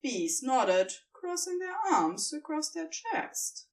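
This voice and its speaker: A woman narrating fan fiction as an expressive scripted audiobook reading. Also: sits high, slow pace, recorded from a distance.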